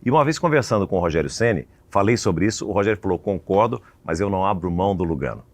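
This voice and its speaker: A man's voice: sits low, animated, no room sound. A man hosting an interview, asking long questions and affirming the guest. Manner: fast, talkative, affirming. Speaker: host.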